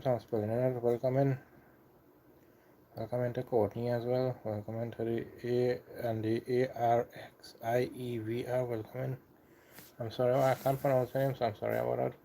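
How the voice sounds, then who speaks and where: Trini guy on a live stream, mellow voice, stumbles and repeats himself, a bit of faint noise behind. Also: medium pitch. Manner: halting, repeats himself, apologetic then defiant. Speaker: livestream host. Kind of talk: casual live stream chat.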